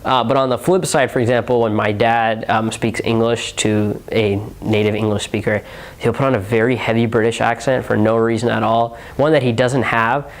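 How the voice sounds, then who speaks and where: A young man from Houston, Texas, a university student, answering interview questions casually with lots of "um" and "like.". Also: fairly low pitch. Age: young adult. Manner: relaxed, heavy on filler words like "um" and "like".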